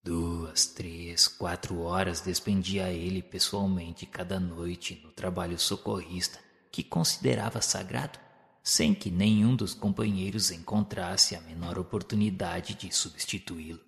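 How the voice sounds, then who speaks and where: A man giving a solemn, measured narration of a spiritual novel in a radio drama. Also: low-pitched, fairly even intonation, gravelly, fairly bright tone, in a large hall. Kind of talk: scripted literary narration.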